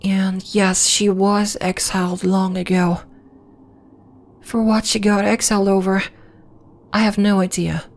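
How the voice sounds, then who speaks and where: A woman playing a gentle, earnest alien temple healer in an ASMR roleplay, speaking in a measured, formal, reassuring way. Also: mid-range voice, level tone, lightly acted. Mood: calm, reflective. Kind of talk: slow, soft ASMR roleplay acting.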